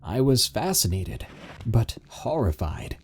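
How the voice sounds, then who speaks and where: A man reading submitted encounter stories aloud in steady, measured scripted narration. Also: on the low side, a little hiss or hum.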